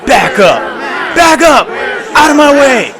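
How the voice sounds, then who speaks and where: Man acting a frantic shouting delivery driver. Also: very high-pitched, big pitch swings, slow pace, a crowd in the background, voice pushed up, full character voice. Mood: panicked. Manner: shouting, panicky self pep talk. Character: frantic, eager delivery driver psyching himself up. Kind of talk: comic audio drama acting.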